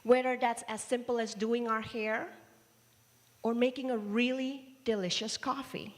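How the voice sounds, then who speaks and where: A woman who grew up in Indonesia, preaching a sermon with measured, playful storytelling. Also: a little high, fairly even intonation. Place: large church hall. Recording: reverberant church hall livestream.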